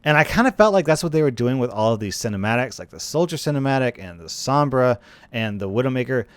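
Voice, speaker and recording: A man's voice: mid-range voice, animated, quick pace, dry recording. A man hosting a gaming video with casual, conversational commentary, often repeating phrases for emphasis.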